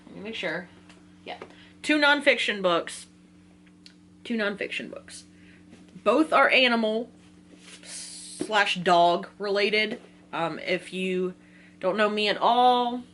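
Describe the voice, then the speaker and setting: An American woman chats informally as a book vlogger, in a medium-pitched voice. She is excitable and rambles into slangy asides. There is some noise and hum behind her. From Virginia, United States. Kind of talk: casual chatty video talk.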